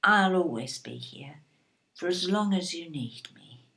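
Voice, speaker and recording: A woman's voice: fairly low pitch, animated, a little slow, creaky, fairly bright tone, full character voice. An actress playing an anxious, tender, emotionally fragile English mother, hesitant and pleading, in an audio play.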